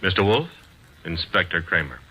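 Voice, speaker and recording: An actor playing a breezy, sardonic young assistant detective in old radio drama. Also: male voice, sits low, very animated, moderate pace, bright, forceful tone, slightly rough, heavily acted, poor audio. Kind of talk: 1940s radio acting.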